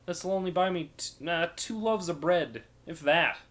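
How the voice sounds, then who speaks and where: A man's voice: a little high, normal speed, fairly bright tone, voice pushed up, lightly acted. A man voicing a down-on-his-luck thief in a story reading, with a sarcastic, half-hearted, muttering manner. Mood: sulky.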